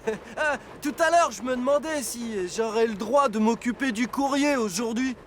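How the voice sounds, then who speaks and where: A man's voice: very high-pitched, moderate pace, a bit bright, a little hiss or hum. A man voicing a vain, good-natured little locomotive in a French cartoon dub, with childlike, exclamatory delivery. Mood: cheerful.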